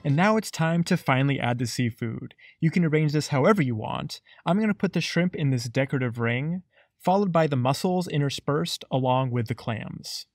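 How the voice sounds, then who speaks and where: A man's voice: fairly high pitch, expressive, normal speed, no echo, studio quality. A man narrating a cooking lesson in a scripted voice-over with a playful, jokey tone. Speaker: cooking show host.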